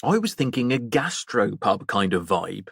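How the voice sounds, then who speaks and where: A man's voice: lowish voice, sing-song, moderate pace, gravelly, slightly rough, dry recording, studio quality, heavily acted. A man voicing a casual, forthcoming village pub landlord in an audiobook. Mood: keen. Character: affable village pub landlord, eager and enterprising. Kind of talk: audiobook character voice.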